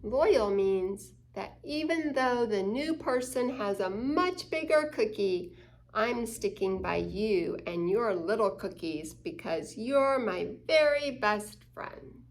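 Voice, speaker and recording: A woman reading a picture book aloud to children as a story time host, expressively and deliberately, explaining things simply. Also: medium pitch, expressive, slight background noise, poor audio. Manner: slow, deliberate, acting out quoted lines. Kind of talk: expressive children's read-aloud with asides to listeners.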